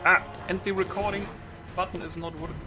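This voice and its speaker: A man's voice: high voice, steady tone, fairly quick. Man casually narrating gameplay over music. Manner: informal, mild swearing, thinking aloud. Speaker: gaming video creator. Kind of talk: casual unscripted gameplay commentary.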